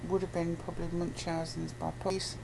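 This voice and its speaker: A British woman chatting casually as an interviewee, giving a rambling, halting and blunt account. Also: fairly low pitch, little pitch movement, vocal fry, darkish tone, slight background noise, poor audio. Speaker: interviewee, abuse survivor campaigner.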